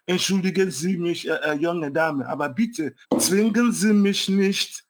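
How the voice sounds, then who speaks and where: A man's voice: a little high, sharp tone. A man with a strong African accent and German not his first language, telling a personal story with many fillers and self-corrections. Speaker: guest. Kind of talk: personal story in a panel talk.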